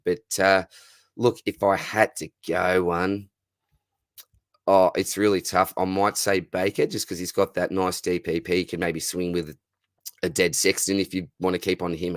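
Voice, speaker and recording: An Australian man hosts a live fantasy football stream in a husky, worn voice, greeting viewers by name and calling them mate and buddy. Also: low voice, no echo, studio quality. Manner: friendly, matey, calls viewers mate and buddy. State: husky, voice going. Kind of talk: casual live stream chat reading viewer comments.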